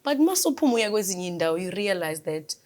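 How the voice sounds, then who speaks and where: A woman's voice: mid-range voice, big pitch swings, rapid-fire, a little mellow. A young South African woman, a gospel singer, answers interview questions earnestly and switches between Zulu and English mid-sentence. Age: young adult. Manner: earnest, grateful, talks about faith.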